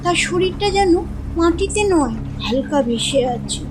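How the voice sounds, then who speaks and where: A woman narrating a scripted horror story in a dramatic, measured storytelling manner. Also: extremely high pitch, expressive, background noise.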